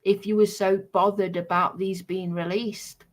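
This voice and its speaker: A woman chatting casually in a halting, restrained way. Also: medium pitch, flat delivery. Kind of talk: casual chat.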